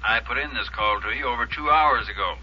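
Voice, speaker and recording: An actor playing a plain, businesslike caller in a 1950s radio drama, heard through a telephone effect. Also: male voice, mid-range voice, fairly quick, a crowd in the background. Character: businesslike male caller relaying urgent news. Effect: telephone filter. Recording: dull 1950s radio recording, loud music.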